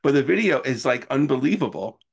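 A man's voice: mid-range voice, animated, a little fast, gravelly, husky. A man in his forties hosting a casual interview in an enthusiastic, fannish way. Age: 40s. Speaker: interviewer and host.

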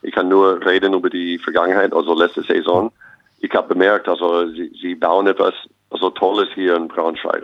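A man's voice: low voice, no echo, muffled recording. A young American man with English as his first language, a basketball coach speaking German earnestly and optimistically as an interview guest. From United States. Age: young adult. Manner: optimistic, earnest, restarts phrases often.

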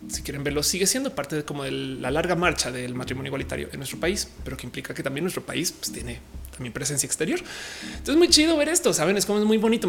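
Fast-talking Mexican woman streamer. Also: deep voice. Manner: chatty, upbeat, laughs often, slangy. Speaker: live streamer reading chat. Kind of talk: casual live-stream chat.

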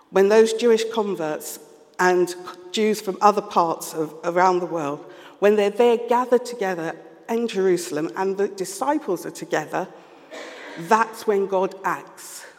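A man with a London accent preaching a sermon, earnest and measured, pausing often as he reflects. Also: high-pitched. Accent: London English. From London, England. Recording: long reverb of a large hall.

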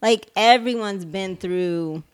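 A woman from Puerto Rico, raised in the US, co-hosting a casual podcast chat in a lively, slangy way. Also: mid-range voice, crowd noise. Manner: lively, slangy, quick asides. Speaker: podcast co-host.

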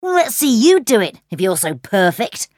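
A woman's voice: mid-range voice, very animated, normal speed, darkish tone, no echo, studio quality, voice pushed up. A woman playing a competitive young girl in a children's storybook, speaking in a pointed way. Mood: huffy.

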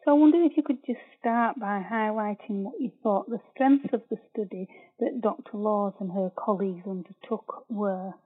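A woman interviewing a researcher, asking polite, measured questions. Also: medium pitch, husky. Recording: tinny phone line.

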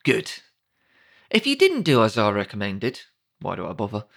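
A man's voice: lowish voice, wildly swooping pitch, moderate pace, vocal fry, fairly bright tone. A British man narrating a comedic audiobook in a wry, sardonic, conversational way.

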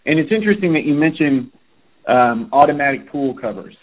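A man's voice: on the high side, lively intonation, fairly quick. A man hosting a podcast, interviewing his guest in a friendly, chatty way. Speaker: podcast host. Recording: tinny phone-like line.

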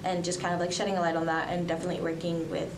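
A young woman from the Dominican Republic and Colombia talks through her answers casually, full of hedges and fillers. Her voice sits a little low, and she sounds set back from the microphone in a reverberant room. A steady noise runs under the recording. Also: racing. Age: young adult. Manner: chatty, many fillers and hedges. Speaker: student journalist guest. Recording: echoey room, distant from mic, noisy.

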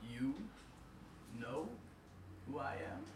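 A slow, deep, rasping old spirit of death, menacing and unhurried, as a storyteller puts him on. Every word is drawn out and chewed. The voice sits a little back from the mic in a roomy space. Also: male voice, fairly even intonation, distant mic, slight background noise.

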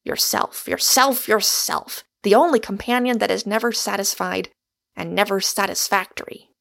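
A woman's voice: medium pitch, fairly even intonation, normal speed, gravelly, a bit bright. A woman voicing an exuberant, argumentative Irishman, a storybook suitor who declaims with great emphasis. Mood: impassioned.

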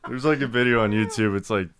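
A man's voice: medium pitch, very noisy. A young man co-hosting a podcast, joking around with mumbled asides. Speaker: podcast co-host. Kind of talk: casual chat.

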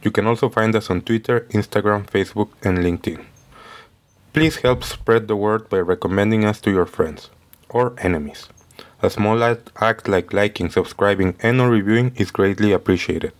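A low-voiced male podcast host. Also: moderate pace. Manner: reads with small stumbles and restarts. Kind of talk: scripted podcast intro, then interview questions.

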